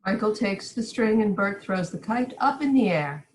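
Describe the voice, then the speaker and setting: A woman with a medium-pitched voice narrates a musical script. She reads out the stage directions as scripted narration. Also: lively intonation, moderate pace, a little mellow, slight background noise, rough recording.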